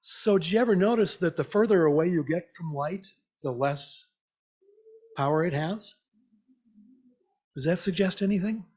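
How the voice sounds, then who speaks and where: A man with a slightly high voice speaks as a lay preacher. He is reflective and conversational, inviting the congregation to think along with questions and short comments. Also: normal speed, pauses often. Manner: conversational, reflective, repeats listeners' answers back. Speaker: lay preacher giving an interactive church message. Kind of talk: interactive sermon, asking the congregation questions. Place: church meeting, speaking to a congregation.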